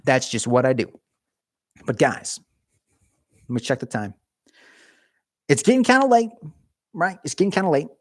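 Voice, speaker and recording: A man's voice: fairly high pitch, very animated, normal speed, long pauses, no echo, clean studio sound. A young man chatting casually on a live stream from his garage, rambling and joking with viewers, his voice getting worn. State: losing his voice.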